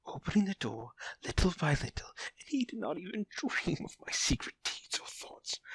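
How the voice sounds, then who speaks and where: An actor playing an obsessed, unhinged murderer in an audio drama horror monologue, theatrical, moving between breathy hush and sudden outbursts. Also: male voice, medium pitch, full character voice, low-quality recording. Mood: secretive, gloating. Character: obsessed, unhinged murderer confessing his careful crime.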